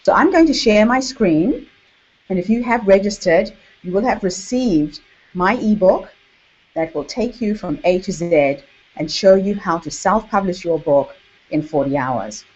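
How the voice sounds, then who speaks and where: A woman with a Zimbabwean accent hosts an online workshop, speaking in a measured, deliberate way with emphatic repetition. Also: mid-range voice, animated, darkish tone, slightly rough. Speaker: workshop host and author coach. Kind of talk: online workshop presentation to camera. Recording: dull, lo-fi webinar audio.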